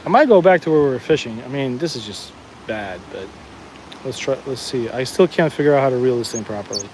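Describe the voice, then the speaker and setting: A man's voice: mid-range voice, animated, fairly quick, noisy background. A man with a New Jersey accent chatting casually with live viewers while he fishes outdoors, answering comments with frequent restarts. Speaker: fishing livestream host. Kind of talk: casual live-stream chat while fishing. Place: outdoors at a fishing spot, walking the bank.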